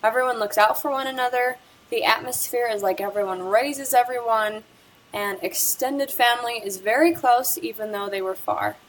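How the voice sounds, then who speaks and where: A woman's voice: on the high side, expressive, normal speed, slight background noise, poor audio. A young woman presenting a school project interview, reading a prepared script about the person she talked with. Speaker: student presenter.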